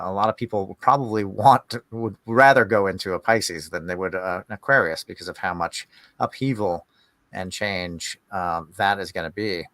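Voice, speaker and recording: A man with a slightly low, bright voice hosts a conversational discussion. He muses in a halting way, searching for words as he goes. The recording is dry, with little room sound. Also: no room sound. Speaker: podcast host.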